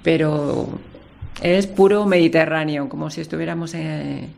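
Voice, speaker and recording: A woman's voice: sits low, a little slow, creaky, a little mellow. A woman in her fifties from Spain, an airline captain chatting as a radio guest about travel.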